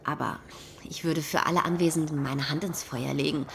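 A woman's voice: low-pitched, steady tone, fairly quick, gravelly, fairly bright tone, a little hiss or hum. An actress playing a persistent female detective in a radio drama, firm and probing. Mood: persuasive. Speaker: police investigator. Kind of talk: German radio drama acting.

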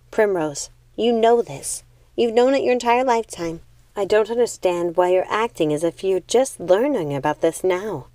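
Woman as calm, resolute eldest witch sister. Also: medium pitch, dry recording, lightly acted. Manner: calm, firm, deliberate.

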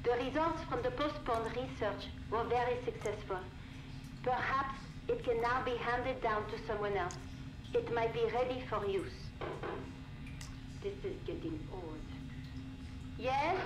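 Woman acting frank high-voiced doctor on tape. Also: unhurried, pauses often, natural voice. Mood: curt, suspicious. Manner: direct, questioning. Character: frank, pragmatic woman doctor, committed to her research. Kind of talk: video game audio-log acting. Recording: roomy, noisy cassette tape recording.

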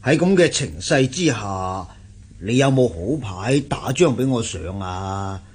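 A troubled middle-aged investor in a Cantonese radio play. His husky voice rises and sags with fretful sighs and hesitations, in a Hong Kong accent. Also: mid-range voice, lively intonation, a little slow. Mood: gloomy, worried. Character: worried, sighing middle-aged investor fallen into debt. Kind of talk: radio drama acting.

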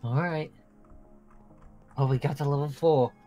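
A man's voice: fairly high pitch, steady tone, measured pace, dark tone, soft background music, natural voice. A man playing an eager, casual, slightly unsure trainee in an improvised Minecraft roleplay.

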